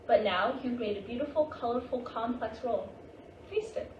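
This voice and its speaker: A woman playing the gentle, wise ghost of a Japanese grandmother in a staged play reading, patient and reassuring. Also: a little high, dull audio, lightly acted. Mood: gently instructive. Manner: patient, reassuring, unhurried guiding. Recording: distant, noisy, echoey staged reading.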